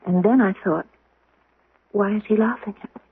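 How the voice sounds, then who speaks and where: An actress playing a sweet, confused young woman with a fogged memory in a 1970s radio drama, speaking in a breathy, wondering, faltering way. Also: mid-range voice, normal speed, no room sound. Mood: puzzled. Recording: muffled, narrow-band old radio broadcast.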